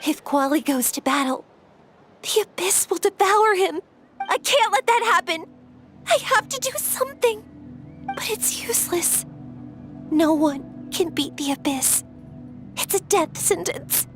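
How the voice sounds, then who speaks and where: Scared but protective game warrior girl, really high voice, slow delivery, music under. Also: animated, a bit bright, full character voice. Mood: fearful, torn. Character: traumatised young warrior girl, anxious protective big sister. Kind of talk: video game voice acting.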